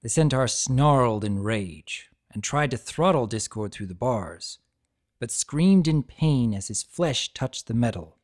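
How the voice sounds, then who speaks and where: Slow, expressive male story narrator. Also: medium pitch. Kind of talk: dramatic reading of a fantasy story.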